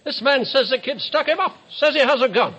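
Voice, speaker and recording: A man's voice: extremely high pitch, fairly quick, pushed and bright. An actor playing a skeptical neighborhood policeman in an old radio drama, wry and questioning. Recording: dull old radio recording.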